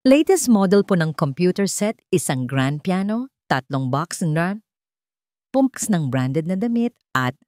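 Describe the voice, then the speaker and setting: A woman's voice: on the low side, very animated, a little slow, a little mellow, no echo, clean studio sound. A woman voicing a deferential, matter-of-fact male delivery worker in a love story. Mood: polite. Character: polite, hesitant deliveryman in uniform.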